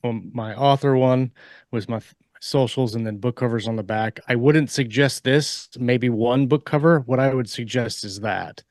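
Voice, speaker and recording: A man co-hosting a casual author podcast, giving advice in a halting way as he repeats and rephrases his points. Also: mid-range voice, a little mellow, dry recording, studio quality.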